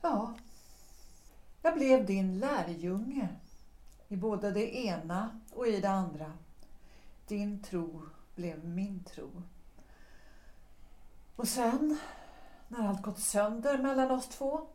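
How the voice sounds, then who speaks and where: An actress playing a melancholy, composed married woman who speaks in measured, reflective tones, recorded in an echoey room. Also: mid-range voice, mellow, lightly acted.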